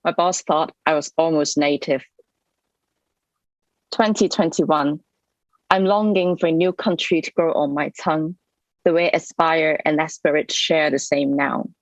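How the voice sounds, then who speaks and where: A young woman from Hong Kong with a Hong Kong English accent, reading her poems softly and carefully at an online event. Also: deep voice, no echo, dull audio. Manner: soft-spoken, measured, with frequent ums. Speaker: poet reading her work. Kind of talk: poetry reading with spoken introductions. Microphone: computer mic on a video call.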